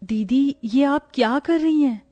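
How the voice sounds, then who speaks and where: A woman's voice: sits high, a little slow, warm tone. A woman playing a concerned younger sister in a cartoon family story. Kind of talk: cartoon moral story dialogue.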